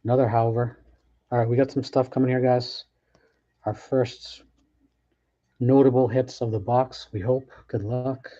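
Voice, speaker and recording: A man chats offhandedly while opening packs, thinking out loud with quick restarts. His voice is mellow and mid-pitched. The recording sounds dull and lo-fi, like a home setup. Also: level tone. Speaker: card break host. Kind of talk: casual live commentary while opening packs.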